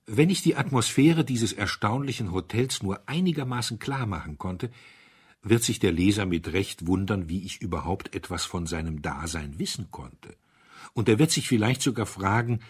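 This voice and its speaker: A man with a fairly low, husky voice narrates a literary tale. His delivery is deliberate and measured, placing expressive emphasis on phrases as a practiced audiobook reader does.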